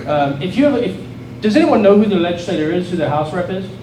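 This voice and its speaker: A man's voice: high-pitched, animated, quick pace. A middle-aged man from South Africa with a South African accent, giving an earnest, rallying political speech to a crowd and often restarting his phrases. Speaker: state legislator giving a speech. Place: event hall with an audience. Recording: echoey hall, voice from far off, noisy.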